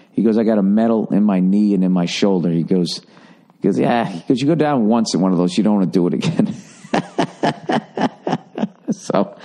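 A middle-aged man riffs through a loose podcast monologue, swearing freely and sounding sardonic. His voice is dark and mellow and sits fairly low. He rambles with plenty of ums and restarts. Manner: profane, sardonic storytelling with frequent fillers. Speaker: podcast host. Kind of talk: casual solo podcast monologue.

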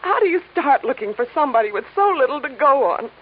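A woman's voice: sits high, big pitch swings, fast talker. An actress playing a troubled, anxious woman pleading for her missing brother in an old radio drama. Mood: distressed. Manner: pleading, emotional. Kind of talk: 1950s radio drama acting. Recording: muffled old radio recording, faint hiss.

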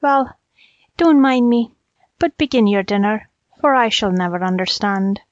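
A woman's voice: medium pitch, animated, unhurried, dry recording, dull audio, close to an everyday voice. A woman voicing a sly jackal who plays muddled and dim-witted in a storybook tale, dithering and falsely humble. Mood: flustered.

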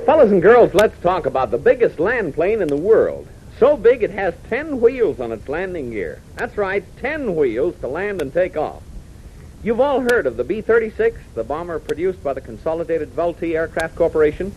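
An American man works as announcer and narrator in a 1940s radio style. His fairly high voice moves in lively swings on a dull, slightly noisy old recording. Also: normal speed.

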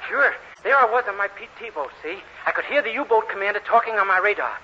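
An American man playing a naive, eager, dim-witted young man in an earnest, excitable 1940s radio comedy style. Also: sits high, fast, heavily acted. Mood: eager, boastful. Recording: hissy, muffled old radio recording.

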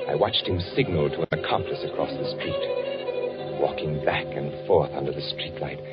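An actor playing a hunted, fearful spy in a 1940s radio thriller, narrating in a brooding, deliberate way. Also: male voice, extremely low pitch. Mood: brooding, watchful. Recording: muffled 1940s radio recording with music.

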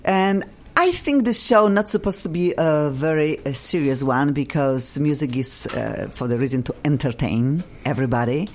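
A woman's voice: sits low, animated, normal speed, a little mellow. Bubbly Polish-accented woman radio host. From Poland. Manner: bubbly, effusive, laughs often. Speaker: radio talk show host. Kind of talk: radio talk show.

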